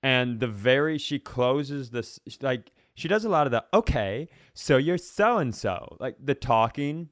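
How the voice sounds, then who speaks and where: A man's voice: medium pitch, lively intonation, a little slow, few pauses, no room sound, clean studio sound. A man co-hosting a comedy podcast in casual banter, emphatic and sweary with mock outrage.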